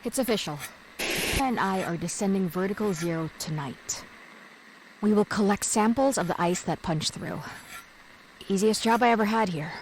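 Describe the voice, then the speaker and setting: A nervous scientist played by a woman records a log in a sci-fi horror podcast. Her voice is mid-pitched. Clear noise surrounds it. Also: moderate pace, natural voice, low-quality recording. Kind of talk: audio drama log entry.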